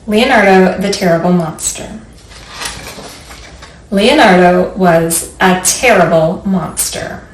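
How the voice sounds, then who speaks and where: A woman's voice: on the low side, slow, long pauses, recorded from a distance. A woman librarian leading a children's storytime, speaking simply and encouragingly to young listeners and reading aloud. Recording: roomy echo, faint background noise.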